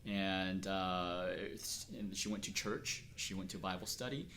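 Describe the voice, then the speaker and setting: A middle-aged man from Texas, speaking earnestly and at a measured pace as he is interviewed about his life and work. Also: low-pitched, fairly bright tone. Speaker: interviewee, acupuncturist. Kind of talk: reflective personal interview.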